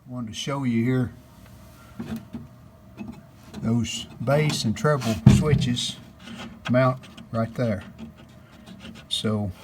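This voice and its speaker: Man narrating casually over clear background noise. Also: medium pitch, extremely slow, pauses often. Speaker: host of a radio restoration video channel. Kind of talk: casual hands-on workshop narration.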